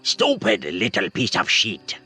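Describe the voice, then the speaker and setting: A man's voice: fairly low pitch, huge pitch swings, unhurried, sharp tone, husky, faint music behind, voice pushed down, full character voice. Guy doing a growly monkey man fighter, curt and menacing, fantasy audiobook villain. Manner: curt, threatening. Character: brutal, menacing male monkey-man warrior.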